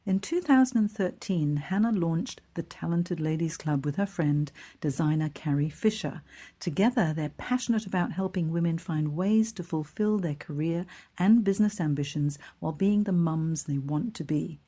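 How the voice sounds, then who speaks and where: A woman's voice: low voice, normal speed, mellow, husky. A middle-aged woman from Hong Kong hosting a careers podcast, upbeat and enthusiastic as she interviews a guest. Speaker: podcast host, career coach. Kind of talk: scripted intro then interview chat.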